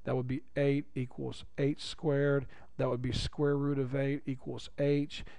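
A man with a raspy voice teaching a geometry lesson, upbeat and chatty, repeating key phrases for his students. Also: medium pitch, level tone, unhurried, dark tone, no echo. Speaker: math teacher. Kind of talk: recorded classroom lecture.

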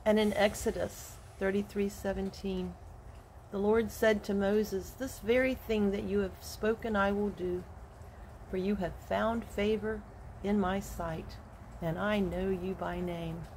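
A woman in her sixties, a retired teacher, sharing a gentle, earnest devotional while recording on her phone on an outdoor porch. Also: medium pitch, steady tone, a little hiss or hum, low-quality recording. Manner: slow, gentle and earnest, with soft laughs. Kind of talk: casual devotional walk-and-talk vlog. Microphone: phone held at arm's length.

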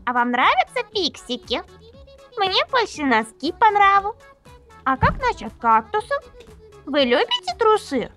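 A tiny chibi anime girl with a shrill, extremely high voice that leaps wildly up and down. She rambles absurd random questions in a sing-song lilt. Faint music plays behind her in this homemade visual novel dub. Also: fairly bright tone, full character voice.

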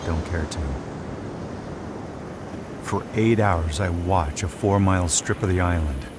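An actor playing a cocky, cold-blooded sniper who narrates a motion comic in a terse, cynical way. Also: male voice, deep voice, measured pace, background noise. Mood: cold, boastful. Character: hard-boiled Hydra sniper, cocky cold-blooded male killer. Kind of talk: first-person scripted narration of a motion comic. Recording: loud music under the voice.

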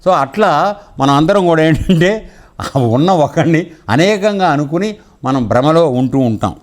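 A male spiritual teacher speaks in a somewhat high voice. He explains teachings conversationally, as in a discourse with parables, and puts frequent questions to his listeners to check they understand. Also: expressive, a little slow.

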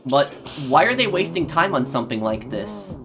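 A man's voice: on the high side, sing-song, normal speed. A man giving casual, bemused reaction commentary over a video game, rambling and hesitant. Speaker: gaming video host. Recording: game audio and noise behind voice. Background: video game sound.

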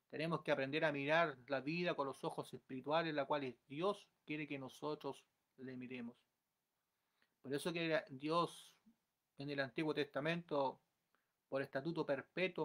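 A man in his thirties preaching an earnest, exhorting sermon to a webcam in a small room at home, building to forceful pleas. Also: a little high. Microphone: webcam at close range.

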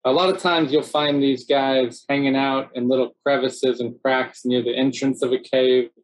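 A middle-aged man, presenting a slideshow in a relaxed, chatty way full of "so yeah" and "um", speaking over a video call from home. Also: medium pitch, moderate pace, poor audio. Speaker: city cave biologist presenting. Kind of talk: casual narrated slideshow talk.

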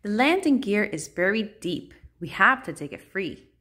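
A woman's voice: medium pitch, very animated, moderate pace, a bit bright, slightly rough. A woman voicing a plucky, determined young girl rocket captain in a storybook, earnest and upbeat.

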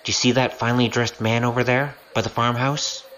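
An actor playing a cheeky, roguish young gambler with a wry manner, in an audio drama. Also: male voice, medium pitch, brisk, soft background music, lightly acted. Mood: wary, alarmed. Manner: animated, wry.

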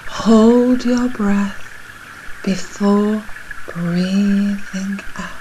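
A woman leading a guided breathing meditation in a soothing, measured, soft and breathy voice that nears a whisper at times. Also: mid-range voice, a little hiss or hum, rough recording. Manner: soothing, measured, unhurried instruction.

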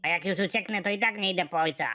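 A performer voicing a naive, hapless young male hero who narrates an animated comedy in a matter-of-fact, deadpan way. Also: medium pitch, very fast, natural voice. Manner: matter-of-fact, deadpan delivery of absurd jokes. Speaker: narrator and protagonist.

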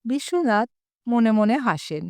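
A woman's voice: fairly high pitch, big pitch swings, a little slow, a little mellow, dry recording, clean studio sound. A woman telling a humorous short story aloud, giving the quoted lines a little expression.

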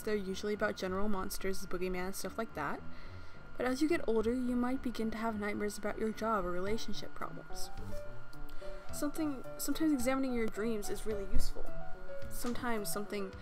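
A woman's voice: a little high, a little fast. A young woman hosting a let's play, reading a visual novel aloud and joking between lines with a playful, giggly manner and casual swearing. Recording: voice with game music behind. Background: game music.

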